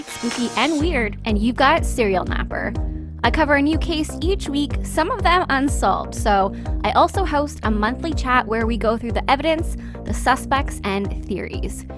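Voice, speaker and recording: High-voiced woman promoting a podcast over music. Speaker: podcast host. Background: music bed.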